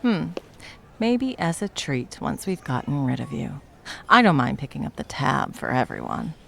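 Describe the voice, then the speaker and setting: Actress as nonchalant villain moonlighting as cafe worker, comedy ASMR; gravelly fry, animated pitch, deadpan teasing. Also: female voice, normal speed, a little hiss or hum, exaggerated voice. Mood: smug, teasing. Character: smug, deadpan young villain working as barista. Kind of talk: comedic ASMR roleplay acting.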